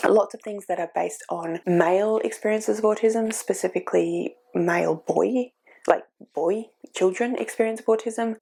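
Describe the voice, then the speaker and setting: Book vlogger lady with a cold, kinda sniffly, low voice with lots of gravelly fry, chats casually and thinks out loud. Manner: earnest, thinking aloud with fillers. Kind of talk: casual reading vlog chat.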